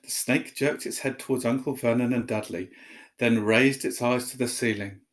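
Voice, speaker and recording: Man reading a story aloud, medium pitch. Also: steady tone, moderate pace. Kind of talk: expressive read-aloud story narration.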